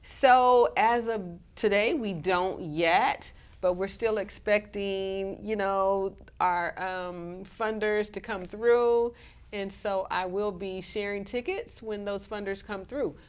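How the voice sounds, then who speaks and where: A woman with a cold and a scratchy voice, chatting as a guest on a live community TV show, halting now and then with fillers. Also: medium pitch, clean tone. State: hoarse from a cold. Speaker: guest, community organization president. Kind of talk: casual live TV interview.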